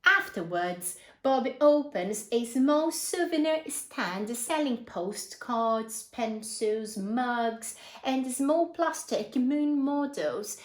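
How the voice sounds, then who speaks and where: A woman with a high, very lively voice reads a children's story at an unhurried pace. She is expressive and often turns to her young listeners with prompting questions, as a storyteller would. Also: reverberant. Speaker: storyteller reading a picture book to children. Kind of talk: animated children's read-aloud with asides and questions.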